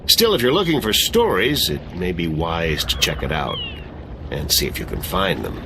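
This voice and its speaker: A man's voice: sits low, big pitch swings, normal speed, background noise. An actor playing a sardonic middle-aged exile who laughs at his own jokes and loves whiskey.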